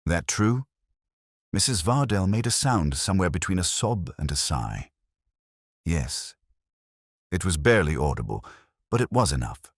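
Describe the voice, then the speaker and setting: Very deep, husky male storyteller. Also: very animated, a little fast, no echo, studio quality. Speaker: audiobook narrator. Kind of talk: scripted first-person detective story narration.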